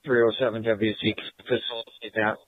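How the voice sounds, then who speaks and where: A man's voice: fairly low pitch, little pitch movement, brisk, no echo. A man speaking as a police officer, checking in over a police radio. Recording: narrow, tinny police radio transmission.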